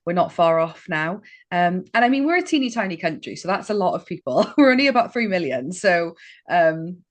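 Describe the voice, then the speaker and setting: Welsh woman author in her thirties, lower voice, eager and chatty with little laughs. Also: sing-song, brisk. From Wales. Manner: enthusiastic, lots of fillers and small laughs. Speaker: author guest. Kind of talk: casual interview chat.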